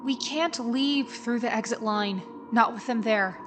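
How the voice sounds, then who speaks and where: A woman's voice: fairly high pitch, unhurried, noisy background, close to an everyday voice. A woman playing a confident, level-headed teenage girl in a dramatized audiobook, urgent and decisive. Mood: urgent, reassuring.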